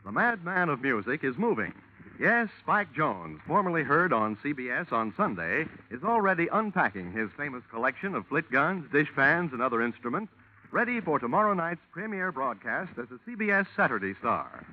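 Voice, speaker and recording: A man announcing in the formal, emphatic style of 1940s network radio, with a fairly high, very lively voice. The broadcast sounds dull and dated, with faint music behind him. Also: normal speed, slight background noise.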